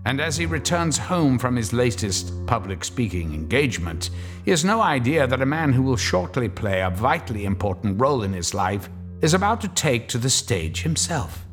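Male knowing horror narrator for radio play. Animated, theatrical delivery, music underneath. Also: medium pitch, normal speed. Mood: ominous, knowing. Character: ominous, knowing male storyteller of horror tales. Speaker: story narrator. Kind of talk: dramatic scripted narration.

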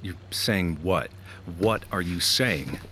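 A weary, troubled man in an audio drama, with a very deep voice. He speaks tersely and bluntly, plain-spoken, with noise and ambience behind him. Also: expressive, slow pace, pushed and bright, exaggerated voice. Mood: suspicious. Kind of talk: first-person audio drama narration and dialogue.

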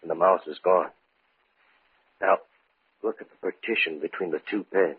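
A man acting as a nervous, fearful scientist in an old-time radio horror drama, with halting, brooding delivery. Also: deep voice, a little hiss or hum, close to an everyday voice. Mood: hushed dread. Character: nervous male scientist with a dread of spiders. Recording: thin, phone-like vintage radio recording.

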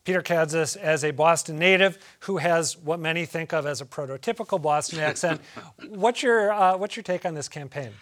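Male radio host, slightly higher voice, brisk moderator. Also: fairly bright tone. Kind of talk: public radio talk show hosting.

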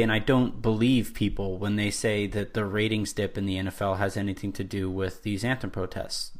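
A man's voice: fairly low pitch, a bit bright. Earnest man co-hosting a sports podcast. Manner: earnest, builds his argument step by step with restarts. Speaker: podcast co-host. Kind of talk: casual sports talk.

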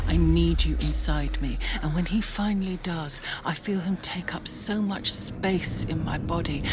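An actress playing a woman who intimately confides an erotic fantasy in a breathy, confessional audio-drama monologue. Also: sits low, background noise. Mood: yearning, intense. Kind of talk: first-person audio fiction monologue. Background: music.

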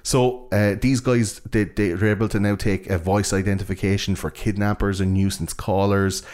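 Irish man, low pitch, enthusiastic, rambling, sweary, casual explainer. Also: flat delivery, moderate pace, darkish tone.

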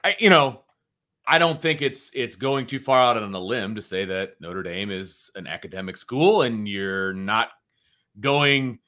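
A man with a bright, forceful voice at a middling pitch co-hosts casual sports talk. He makes his points emphatically, repeating them for stress. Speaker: sports talk show co-host.

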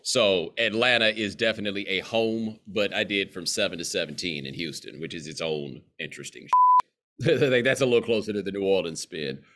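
A man's voice: low voice, a little fast, a bit bright. A man in his forties with a Southern American accent, a guest telling stories in a casual, idiomatic, emphatic way. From southern United States. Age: 40s. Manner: expansive, idiomatic, emphatic storytelling. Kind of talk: casual conversation.

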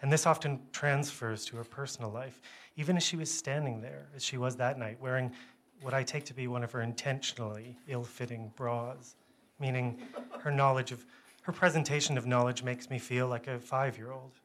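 A man reads a first-person literary story aloud, with measured phrasing and a wry, self-deprecating manner. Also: mid-range voice, fairly even intonation, fairly bright tone, slight background noise.

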